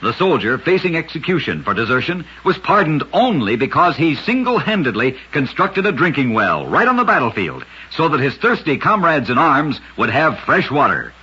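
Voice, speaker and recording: A man with a husky, raspy voice delivering emphatic, dramatic scripted storytelling as an old-time radio host. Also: on the high side, very animated, normal speed, hardly any pauses, sharp tone. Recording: dull lo-fi vintage radio.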